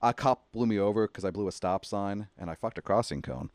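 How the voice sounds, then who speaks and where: A young man with a slight speech impediment, talking quickly and joking loosely as a podcast host. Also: lowish voice, darkish tone, no room sound. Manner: fast-talking, jokey, swears freely. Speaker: podcast host and stand-up comedian. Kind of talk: casual podcast chat.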